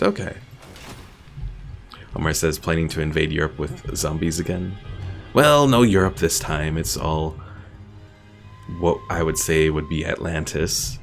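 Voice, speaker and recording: A man's voice: very deep voice, vocal fry. A man giving casual gameplay commentary as a streamer, musing and thinking aloud as he goes. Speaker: game streamer.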